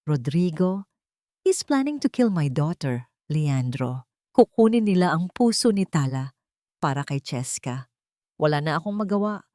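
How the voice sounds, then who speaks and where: A woman's voice: sits low, sing-song, measured pace, a little mellow, no echo. An anguished mother, as a woman narrator plays her in an audio drama. She is desperate and bewildered, pleading with raw emotion in a dry, close voice. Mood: desperate, tearful.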